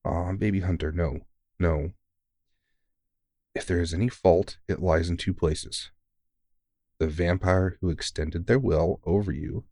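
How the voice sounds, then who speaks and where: Guy acting as tired old-guard vampire hunter, really deep voice, dry sound, kind n teasing, comforting asmr roleplay, swears a bit. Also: no room sound. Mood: warm, concerned, then reassuring, frank. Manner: reassuring, gently teasing, informal, sweary. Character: kindly, weary veteran male vampire hunter mentoring a rookie. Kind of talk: intimate ASMR audio roleplay.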